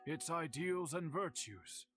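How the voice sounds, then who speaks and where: Dignified older swordsmith, slightly high-pitched. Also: male voice, expressive, over music. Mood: admiring. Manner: deliberate, formal, reflective. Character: dignified older male master swordsmith, gracious and solemn. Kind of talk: scripted video game dialogue.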